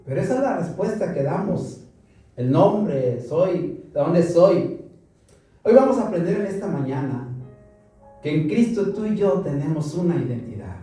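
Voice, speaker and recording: A man's voice: a little high, sing-song, a little slow, dark tone, distant mic. A Mexican man preaching a sermon to a congregation, asking them questions and repeating them back. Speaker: pastor. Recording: roomy echo from afar, music behind.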